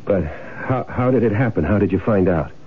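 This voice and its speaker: A man's voice: fairly low pitch, expressive, moderate pace, slight background noise, lightly acted. An actor playing a sly, self-satisfied swindler who tells his own crime story in wry, casual slang, in the style of 1970s radio drama. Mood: defensive, nervous. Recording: tinny, band-limited old radio broadcast, music behind.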